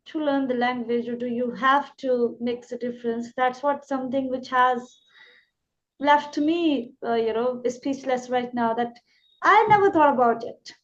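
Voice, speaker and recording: Indian English woman host, high pitch, muffled lo-fi sound, eager long-winded questioner. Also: a little slow. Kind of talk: interview questions. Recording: dull, lo-fi line.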